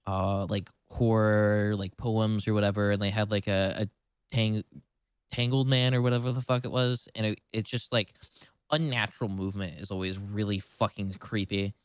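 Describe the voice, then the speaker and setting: A man's voice: lowish voice, steady tone, moderate pace, clean tone, no echo, studio quality. A man in casual livestream chat as co-host, rambling with lots of "like" and casual swearing. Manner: rambling, heavy use of "like"; casually foul-mouthed.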